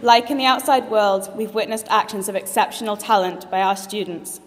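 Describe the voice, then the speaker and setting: A woman's voice: mid-range voice, moderate pace, some chatter behind, slight background noise. A young woman in her late teens, a graduating student, giving a heartfelt formal graduation speech with a few light jokes in a large assembly hall. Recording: long reverb of a large hall.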